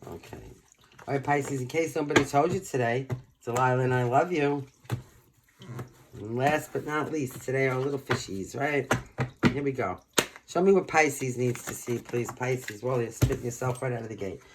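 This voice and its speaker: A hoarse tarot reader talks casually to the viewer, nearly out of voice. The voice sits at a middling pitch. Loud background noise and clicking run under the speech. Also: moderate pace. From Brooklyn, New York. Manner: chatty, informal, talks straight to the viewer.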